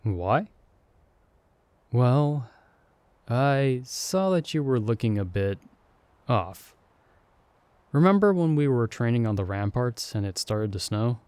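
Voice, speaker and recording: A man as a playful young sidekick, slow and mellow, lively pitch, close dry mic. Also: smooth, no room sound. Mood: cheerful. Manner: teasing, friendly and upbeat. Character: playful young man, the hero's friend summoned with him. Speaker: voice actor. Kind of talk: ASMR audio roleplay.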